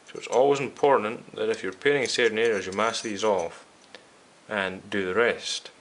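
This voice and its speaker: A man's voice: fairly low pitch, fairly even intonation, moderate pace, fairly bright tone. A man hosting a hands-on repair video, talking casually and explaining each step directly to his viewers. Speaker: host of a radio restoration series.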